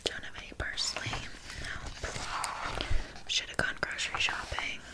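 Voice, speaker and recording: Whispering, deadpan witch, played by a woman. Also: measured pace, lightly acted. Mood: casually unbothered. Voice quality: soft, breathy whisper. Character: flaky, passive-aggressive witch giving a consultation. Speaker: ASMR roleplay performer. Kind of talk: ASMR roleplay.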